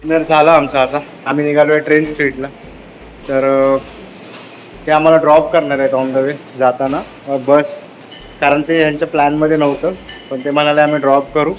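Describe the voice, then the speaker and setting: In slightly dull audio with faint music, a man talks casually to his vlog audience. His voice is a little high and stays even in pitch as he gives travel updates. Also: moderate pace. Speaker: travel vlogger.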